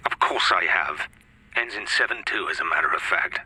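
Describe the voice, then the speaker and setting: An actor playing a terse, weary British male secret agent in a radio drama, clipped and deliberate. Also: sits low, sharp tone, husky, heavily acted, rough recording. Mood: terse, insistent.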